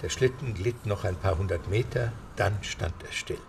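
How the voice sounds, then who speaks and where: Low, creaky male storyteller. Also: moderate pace, background noise. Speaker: narrator. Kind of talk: scripted audiobook-style drama narration.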